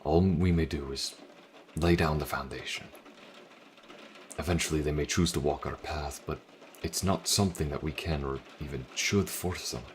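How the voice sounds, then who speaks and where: Paternal priest played by a man, very low bass, calm and solemn audio drama counsel over light ambience. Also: a little hiss or hum. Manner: measured, solemn, reassuring. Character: calm, paternal priest mentor, gently devout.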